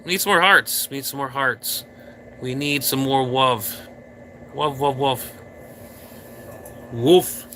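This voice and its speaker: Bright-voiced man chatting to his live viewers. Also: medium pitch, slow, long pauses, a little hiss or hum. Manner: rambling, playful, talks straight to viewers. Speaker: live stream host. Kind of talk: casual live stream chat. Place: indoor room.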